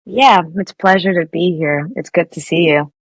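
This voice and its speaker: A woman's voice: lowish voice, normal speed, slightly rough, no echo. A young woman from Nepal, a guest engineer, answering interview questions casually with many fillers and restarts.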